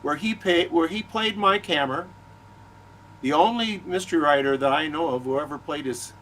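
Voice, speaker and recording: A man who is a guest author in a casual discussion, speaking haltingly and often repeating words as he gathers his thoughts. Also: on the high side, a bit bright, a little hiss or hum, poor audio. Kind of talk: casual discussion of film and writing.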